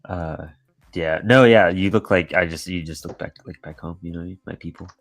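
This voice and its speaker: A man's voice: deep voice, normal speed, no room sound. A man chatting casually on a video call, laid-back with slang, often trailing off. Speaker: guest, comics creator. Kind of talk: casual chat.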